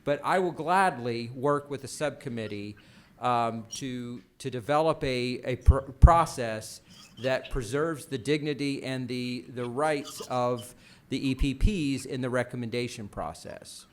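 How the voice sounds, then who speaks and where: A man with a mid-range voice talks through policy in a formal meeting. He is measured and bureaucratic, often restarting his phrases as he works out his wording. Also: mid-range voice. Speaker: official presenting a policy at a commission meeting. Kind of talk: formal meeting discussion, unscripted.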